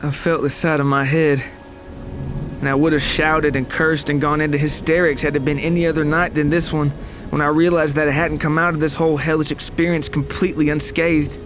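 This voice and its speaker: A man's voice: fairly high pitch, flat delivery, fairly quick, noisy background, natural voice. A man voicing a traumatized survivor of a monster attack, narrating his horror story in a grave, literary, dramatic way. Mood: numb, haunted. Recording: music behind the voice.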